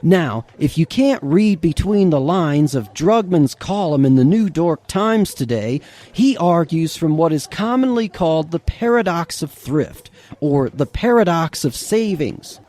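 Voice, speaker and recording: Man, high voice, sarcastic, chuckling, rhetorical questions, unhurried. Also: lively intonation, dark tone. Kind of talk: scripted monologue with mock outrage.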